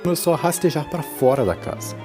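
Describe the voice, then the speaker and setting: A man's voice: a little high, expressive, quick pace, over music. A man giving a solemn, dramatic scripted narration for a horror audio drama.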